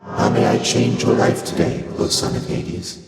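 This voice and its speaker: Deep, echoing ancient primordial deity. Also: male voice, very animated, creaky, full character voice. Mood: calm, inviting. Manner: formal, measured, archaic phrasing. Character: ancient aloof primordial deity, calm, cryptic, faintly amused. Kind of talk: video game character dialogue. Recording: cavernous long reverb, loud music behind.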